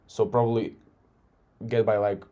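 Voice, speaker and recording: A man's voice: lowish voice, flat delivery, measured pace, warm tone. A man with a Croatian accent, coaching by talking casually through a recorded sales call and often tagging "right?". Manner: loose and conversational, often tags "right?".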